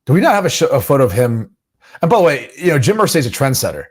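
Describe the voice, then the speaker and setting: A man's voice: mid-range voice, big pitch swings, fast, slightly rough. A man co-hosting a sports podcast, teasing and laughing in casual banter. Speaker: podcast co-host, former player.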